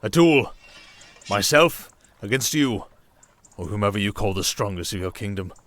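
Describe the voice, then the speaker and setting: A man playing a proud, accusing enemy duke in a fantasy audio drama, theatrical, condescending and deliberate. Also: lowish voice, expressive, a bit bright, slight background noise. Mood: grave challenge. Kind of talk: audio roleplay drama acting.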